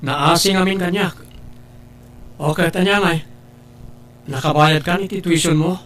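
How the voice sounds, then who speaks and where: A man's voice: on the high side, steady tone, a little slow, fairly bright tone. A man with an Ilocano accent acting a devoted, humble older brother in a radio-style drama. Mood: tender, reassuring. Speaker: voice actor.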